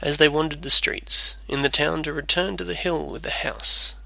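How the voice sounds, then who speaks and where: A man's voice: on the high side, fairly even intonation, moderate pace, creaky, pushed and bright, no room sound. A man reading a scripted storybook tale as its narrator.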